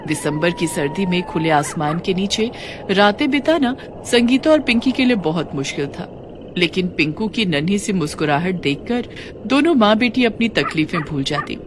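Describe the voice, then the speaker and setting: A woman's voice: lowish voice, big pitch swings, normal speed, background noise. A woman narrator reading a scripted, dramatic moral story.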